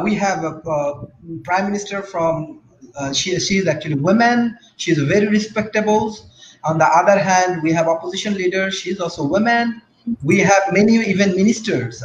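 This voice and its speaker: A man's voice: high-pitched, roomy sound, lo-fi sound, a little hiss or hum. A man hosting an interview, asking long, rambling, circling questions. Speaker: interview host.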